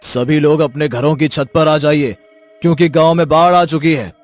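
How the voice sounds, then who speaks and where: Man acting an alarmed, higher-voiced cartoon villager. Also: fairly even intonation, normal speed, dry recording, soft background music, close to an everyday voice. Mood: urgent. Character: alarmed, distrustful village men in a flood. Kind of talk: dramatic cartoon dialogue.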